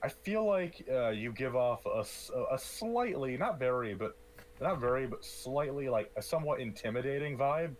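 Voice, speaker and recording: A young man with a deep, loud voice co-hosting a podcast in casual chat, often restarting his sentences and joking dryly. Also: lively intonation, normal speed, a little hiss or hum. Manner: deep loud voice, hesitant restarts, dry jokes. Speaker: podcast co-host. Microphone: streamed podcast mic.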